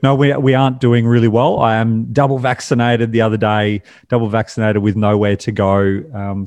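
A man's voice: lowish voice, darkish tone, dry recording, clean studio sound. An Australian man speaking as a podcast guest in a casual, candid, self-deprecating conversational way.

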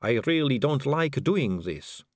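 Guy putting on a slow silky lady vampire, posh and unbothered, kinda higher voice, dead dry audiobook sound. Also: big pitch swings, no echo. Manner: slow, silky, languid delivery. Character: suave, poised aristocratic female vampire.

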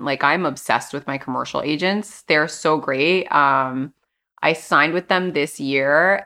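A young woman from New York with a deep, flat-sounding voice and a New York accent, telling stories casually as an interview guest. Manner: casual storytelling, self-deprecating, many "um" and "like". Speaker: actress, podcast guest.

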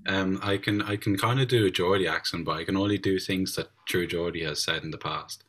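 A man co-hosting a podcast, cracking jokes and quick quips in casual chat. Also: low voice, fairly even intonation, fairly bright tone. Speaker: podcast co-host. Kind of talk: casual banter.

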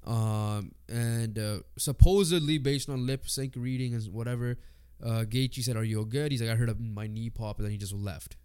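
Congested man in clear, casual sports chat. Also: mid-range voice, fairly even intonation, moderate pace, few pauses, darkish tone. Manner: informal, swears casually. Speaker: sports podcast co-host. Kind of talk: casual sports chat, reading lists and predictions.

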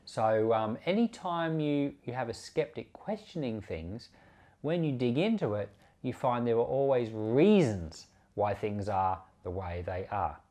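Man preaching with very lively, swooping intonation. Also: medium pitch, unhurried. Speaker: pastor and Bible podcast host. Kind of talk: informal Bible commentary, sermon.

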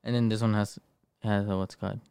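A man's voice: lowish voice, little pitch movement, fast, gravelly, warm tone, clean tone, dry recording. A young man from Mexico whose first language is Spanish, chatting in a laid-back, profane way. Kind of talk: casual chat.

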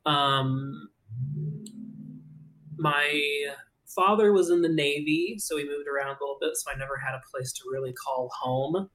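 A young adult guest on a casual podcast tells their own story. They speak slowly at a middling pitch. Their manner is playful and chatty. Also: fairly bright tone, rough recording.

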